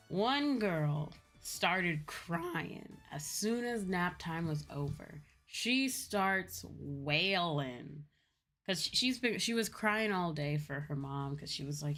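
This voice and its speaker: Midwest american woman streamer just chatting and telling stories, lowish voice, faint music behind her. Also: fairly bright tone. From Midwestern United States. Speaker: streamer and VTuber host. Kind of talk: casual chatty livestream storytelling. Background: background music.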